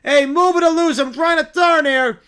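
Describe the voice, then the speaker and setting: A man voicing a brash, shouty New York street guy, brusque and impatient, in comic parody. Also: very high voice, normal speed, pitched above the performer's own voice.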